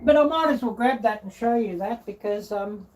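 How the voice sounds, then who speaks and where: A middle-aged woman chatting casually and unscripted as a live-stream cooking host, halting and rambling as she searches for words. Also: a little high, darkish tone. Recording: distant mic, clear background noise.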